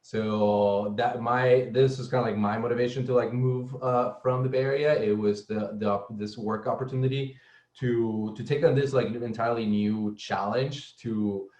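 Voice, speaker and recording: A man's voice: mid-range voice, steady tone, darkish tone, reverberant, muffled recording. A young man from El Salvador, speaking as a guest on a casual panel and often stumbling over repeated words. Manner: halting, many repeated words and fillers. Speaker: guest speaker, software engineer.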